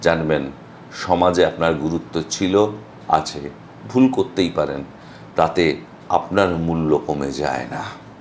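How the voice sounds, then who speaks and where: A man with an Indian Bengali accent delivering a motivational monologue as a storyteller, earnest and emphatic, with dramatic pauses and some English phrases mixed in. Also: rumbling low, a little hiss or hum. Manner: mixes English phrases into speech. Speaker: motivational vlogger.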